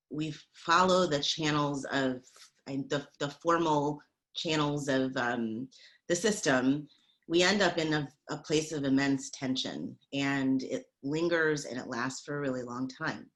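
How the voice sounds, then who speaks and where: A woman with a very low voice, speaking as a panelist. She hesitates often, restarting phrases as she gathers her thoughts. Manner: hesitant, with many fillers and restarts. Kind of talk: reflective panel talk.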